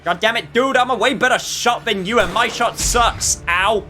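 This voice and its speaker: A man's voice: very high-pitched, moderate pace, bright, forceful tone. A man doing casual gameplay commentary as a streamer, exclamatory and theatrically exasperated, with mild cursing. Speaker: gaming streamer. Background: video game music.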